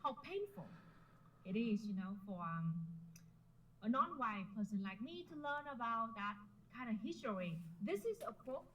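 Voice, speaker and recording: A woman's voice: fairly high pitch, very animated, normal speed, a bit bright, low-quality recording. Earnest woman with a Vietnamese accent. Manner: earnest, uses "you know" fillers. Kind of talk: talking to camera about history.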